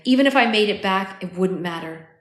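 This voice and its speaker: A woman's voice: mid-range voice, lively intonation, brisk, close to an everyday voice. A woman voicing a resolute, apologetic woman fighter ready to sacrifice herself in a storybook reading. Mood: resigned, resolute. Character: self-sacrificing woman fighter speaking over radio. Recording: echoey, roomy sound.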